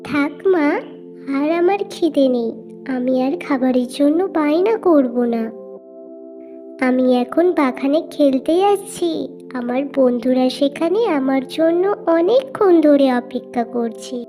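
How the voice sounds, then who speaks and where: Lady doing a pleading hungry little kid girl, cartoon style, really high pitched and mellow. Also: animated, measured pace, faint music behind. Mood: resigned. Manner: childlike, pleading. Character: hungry, whining, self-denying little village girl.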